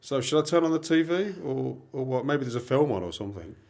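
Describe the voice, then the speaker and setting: Man playing a sceptical, matey pal, animated pitch. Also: fast, gravelly, background noise. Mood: dismissive. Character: teasing, sceptical best mate in a small flat. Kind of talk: amateur student radio play acting.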